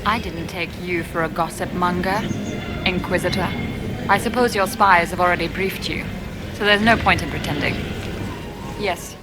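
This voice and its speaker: An actress playing a guarded, proud elven ambassador and spymaster in a video game, composed and pointed. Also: female voice, fairly low pitch, moderate pace, pauses often, over music, close to an everyday voice. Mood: guarded, candid. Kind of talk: video game character dialogue.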